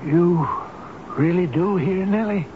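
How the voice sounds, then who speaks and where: A man's voice: high voice, slow, a little hiss or hum. An actor playing an addled, childlike old man, hesitant and folksy, in a radio drama. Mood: gentle, distracted. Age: older. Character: addled, childlike old man, devoted to his beloved elevator. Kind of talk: 1970s radio drama acting. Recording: dull radio broadcast with music.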